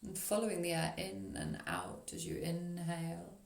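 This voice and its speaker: A woman leading a guided meditation as a yoga nidra teacher, speaking in a soothing, gentle, unhurried way with long pauses. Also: fairly low pitch, sing-song, vocal fry. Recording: roomy echo on the voice.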